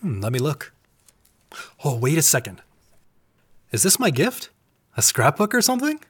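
An actor playing an affectionate, joking middle-aged husband in a naturalistic audio drama, with a playful, eager, self-deprecating manner. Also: male voice, a little high, wildly swooping pitch, normal speed, breathy edge, full character voice. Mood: teasing, apologetic.

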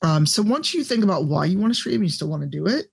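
A woman with a deep voice, a podcast guest and streamer giving blunt, profane advice in casual chat. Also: big pitch swings, fast, creaky, breathy edge.

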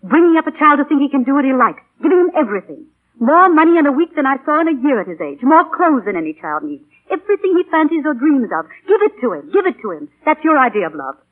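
A severe mother in an old radio play, very high in pitch. She is accusing and emphatic, her voice rising and falling sharply. The broadcast sounds thin and telephone-like. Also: big pitch swings, quick pace. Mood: bitter, righteous. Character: stern, embittered mother, strict and righteous wife. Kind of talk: 1940s radio drama acting. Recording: tinny, narrow 1940 radio broadcast.

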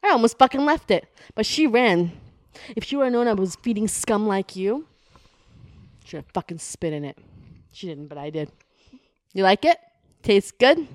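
A woman's voice: medium pitch, big pitch swings, normal speed, long pauses, dry recording, clean studio sound, close to an everyday voice. A woman playing a hostile bad cop who goads and swears at a suspect during an interrogation. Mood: menacing. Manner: sneering, goading, repeats "huh?", swears.

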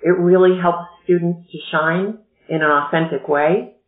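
A woman educational consultant explaining college admissions in a measured, explanatory way during a podcast interview. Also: lowish voice, fairly even intonation. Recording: echoey, tinny phone-like line.

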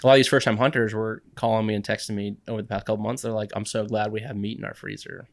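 Easygoing Texan man, gravelly low voice. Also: little pitch movement, quick pace, no room sound. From Texas. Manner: easygoing, laughs often, frequent fillers and restarts. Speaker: guest. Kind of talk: casual podcast conversation.

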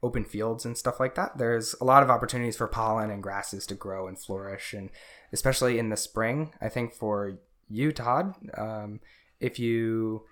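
A man's voice: lowish voice, fairly even intonation, normal speed, fairly bright tone. A man co-hosting a podcast, explaining in a casual chat with many fillers. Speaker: podcast co-host.

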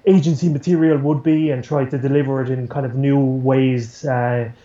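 A man's voice: mid-range voice, steady tone, moderate pace, warm tone, roomy sound, lo-fi sound. An Irish-accented man answering interview questions casually as a news producer, rambling and repeating words as he goes. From Ireland.

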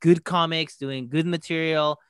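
A man talking in a casual interview, halting with ums and uhs. His voice is a touch high and notably smooth. The sound is dry and close. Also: steady tone, no room sound. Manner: hesitant, with many ums and uhs.

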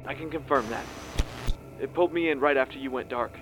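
A man's voice: medium pitch, brisk, natural voice. A man playing a prickly, sarcastic tactical officer who argues with a rival in a science fiction audio drama. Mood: wary. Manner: sarcastic, argumentative. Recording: clear vehicle-like background noise.

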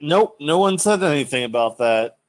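A man's voice: a little high, animated, normal speed, no echo. Matter-of-fact man, dry recording. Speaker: podcast co-host. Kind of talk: casual podcast chat. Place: recorded remotely over a voice chat app.

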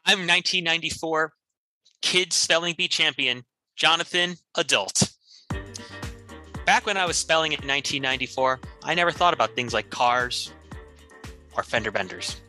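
A man with a very bright, forceful voice on the high side performs improvised spoof radio commercials. He talks like an announcer pitching a product, and music plays clearly behind him. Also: moderate pace, long pauses.

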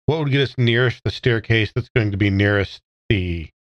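A man's voice: lowish voice, moderate pace, no echo, muffled recording. A man playing a tabletop game, talking casually as he describes his character's moves.

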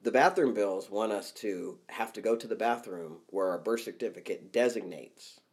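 A man's voice: fairly low pitch, moderate pace. Blunt, fired-up man on a podcast. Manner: blunt, profane, gets worked up. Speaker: podcast co-host. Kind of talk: casual podcast chat.

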